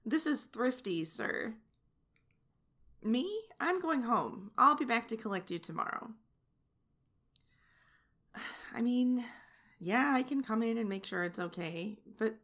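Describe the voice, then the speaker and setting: Woman to play a domineering young flight attendant, sharp-tongued and taunting; slightly high, unhurried delivery. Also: heavily acted. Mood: brisk, dismissive. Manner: mocking, teasing taunts. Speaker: voice actor in an audio roleplay. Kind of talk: adult audio roleplay.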